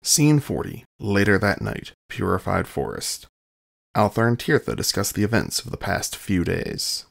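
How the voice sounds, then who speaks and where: A man narrates scenes from a script in a low voice. It is heavily creaky and gravelly throughout. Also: very animated, moderate pace.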